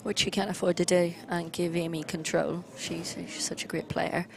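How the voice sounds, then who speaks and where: Low, gravelly woman commentating pool. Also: level tone, normal speed. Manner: chatty, trailing off with fillers.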